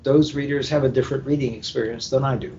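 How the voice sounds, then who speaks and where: A male book editor telling anecdotes in a panel conversation, with an emphatic, deliberate manner. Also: steady tone, a little mellow. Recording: muffled internet call, clear background rumble.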